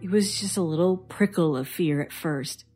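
An actress playing a bitter, guarded young woman, a traumatized survivor, in an audio drama, husky and sardonic. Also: on the low side, moderate pace, a little mellow, soft background music, close to an everyday voice. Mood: haunted, subdued. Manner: sardonic, defensive.

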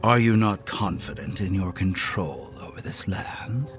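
An actor playing a calm, inhuman man in a horror audio drama, mildly amused and quietly menacing, speaking in a measured, softly taunting way. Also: low voice, soft background music. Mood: quiet probing. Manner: slow, measured, softly taunting, dropping toward a whisper.

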